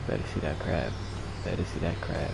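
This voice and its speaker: A man's voice: extremely low pitch, fairly quick, creaky. A man giving casual, profane and wry commentary while playing a video game. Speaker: gamer doing a playthrough. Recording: noisy, game audio behind.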